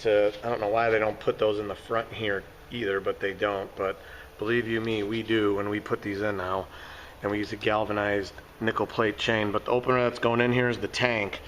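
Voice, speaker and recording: Hoarse tradesman, low-pitched, folksy how-to talk, recorded on a phone in a parking garage with faint hum behind. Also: flat delivery, a little fast, fairly bright tone, low-quality recording. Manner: casual, folksy explaining. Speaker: garage door technician. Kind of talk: hands-on work walkthrough. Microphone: handheld phone camera.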